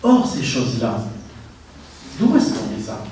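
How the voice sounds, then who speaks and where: A man's voice: medium pitch, huge pitch swings, measured pace, background noise. Middle-aged guy lecturing a crowd, punchy and emphatic, handheld mic, big room echo. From Tunisia. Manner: emphatic, rhetorical questions to the audience. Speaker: conference speaker. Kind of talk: public lecture with anecdotes. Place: conference room, indoors. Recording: echoey room, from far off.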